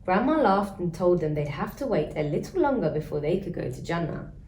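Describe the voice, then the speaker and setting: A woman's voice: lowish voice, very animated, brisk, darkish tone. A woman storyteller narrating a children's storybook. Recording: roomy echo, faint background noise.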